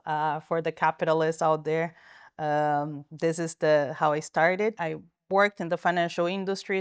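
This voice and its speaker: Low, even-toned woman. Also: smooth, no echo, studio quality. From Brazil. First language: Portuguese. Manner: thoughtful, frequent restarts and fillers. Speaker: company founder and guest. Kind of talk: interview answers.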